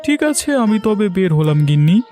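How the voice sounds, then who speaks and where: Man acting a mellow, plaintive village farmer. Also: high-pitched, big pitch swings, brisk, faint music behind. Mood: practical. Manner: plaintive, anxious. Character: worried, lamenting poor village farmer. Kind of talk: cartoon voice acting.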